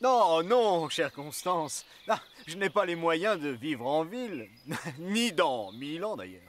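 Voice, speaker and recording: A man's voice: a little high, sing-song, takes it slowly, a bit bright, a little hiss or hum, exaggerated voice. A man voicing a cheerful, teasing minstrel in a children's fairy-tale cartoon, with a playful, mock-gallant manner. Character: cheerful, teasing minstrel, newly wed to a princess.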